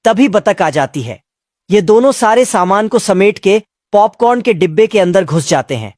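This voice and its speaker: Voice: mid-range voice, animated, normal speed, a little mellow, dry recording, studio quality. A narrator telling a comic cartoon recap from a script in colloquial, slangy storytelling.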